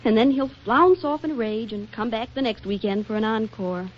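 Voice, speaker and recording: An actress playing a flippant, scheming, exasperated wife in a 1940s radio comedy, delivering wisecracks with arch drama. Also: female voice, fairly high pitch, moderate pace, lightly acted. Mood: wry exasperation. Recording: dull, faintly noisy 1940s radio recording.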